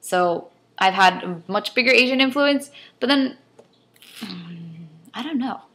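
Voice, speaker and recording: A woman's voice: medium pitch, animated, a little slow, pushed and bright. A young woman from California with a mixed, Chinese-influenced Californian accent, rambling hesitantly in a casual vlog rant. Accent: California, mixed with a Chinese-immigrant lilt. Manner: rambling, hesitant, thinking aloud with many ums. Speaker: vlogger.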